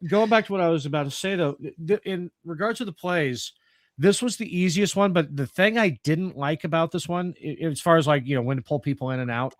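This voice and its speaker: A man's voice: a little high, no echo. A man chatting casually in a group, explaining things in an informal, meandering way.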